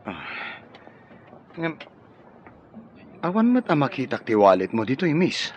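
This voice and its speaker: An actor playing an eager, flirtatious, easily smitten bachelor, hesitant and solicitous, with an Ilocano accent in radio drama style. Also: male voice, mid-range voice, big pitch swings, a little slow, muffled recording. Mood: eager, courting. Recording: faint noise and ambience.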